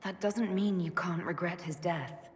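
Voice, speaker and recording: An actress playing a composed, authoritative elf mage leader in a fantasy game, measured in manner. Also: female voice, lowish voice, husky. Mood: gentle, curious. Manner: measured, judicial. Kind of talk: video game voice acting.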